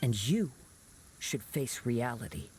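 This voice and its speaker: An actor playing a calm, perceptive young swordsman in a video game, speaking in a measured, formal way. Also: mid-range voice, a little hiss or hum. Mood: reproachful. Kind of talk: dramatic video game acting.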